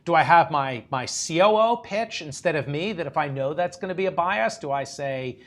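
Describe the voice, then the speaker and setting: A middle-aged man hosting an interview on a video call with a lapel mic, asking animated questions and often chiming in with short agreements. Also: fairly high pitch. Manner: quick, lots of backchannel "right" and "mm-hmm", animated questions. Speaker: interview host. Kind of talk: conversational interview.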